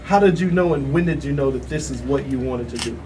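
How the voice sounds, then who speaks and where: A man co-hosting a casual radio show, reacting with enthusiastic hype and quick questions. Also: mid-range voice. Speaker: radio co-host. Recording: distant mic with background noise.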